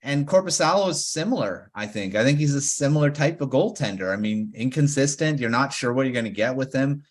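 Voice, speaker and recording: A man hosting a casual podcast roundtable, relaxed and quick to chuckle. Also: mid-range voice. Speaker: podcast host.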